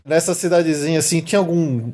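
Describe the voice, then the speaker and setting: A Brazilian speaker with a medium-pitched voice takes part in a casual chat. The phrasing is conversational and a little halting, often shaped as questions. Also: over loud music.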